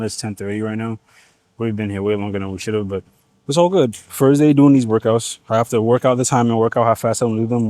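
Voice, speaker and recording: A man's voice: lowish voice, dark tone, dry recording. A man from Nigeria whose first language is Igbo, rambling casually in a gym vlog with slang and swearing. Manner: slangy, profane, rambling asides. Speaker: fitness vlogger.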